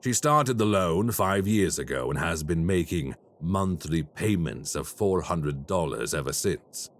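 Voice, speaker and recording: An actor playing a polite, quietly menacing male crime boss in an audio roleplay. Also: low-pitched, animated. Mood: smooth menace. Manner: slow, courteous and quietly menacing.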